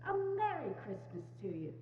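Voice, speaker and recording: A woman's voice: mid-range voice, wildly swooping pitch, normal speed, slight background noise. A woman narrator giving a scripted dramatic reading of a story at a community performance. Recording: muffled, echoey church hall, humming.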